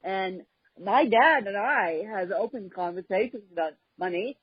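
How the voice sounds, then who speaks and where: A woman hosts a podcast chat in a husky voice, speaking very slowly. She talks haltingly, stopping often and filling gaps with "um". The recording is dry and a bit dull. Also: medium pitch, expressive, no room sound. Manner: halting, with frequent pauses and fillers. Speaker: podcast host. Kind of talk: casual conversational podcast chat.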